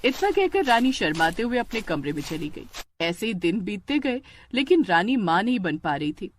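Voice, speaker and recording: A woman's voice: medium pitch, big pitch swings, normal speed, a little hiss or hum. Woman narrating a family story. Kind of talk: scripted Hindi family drama storytelling.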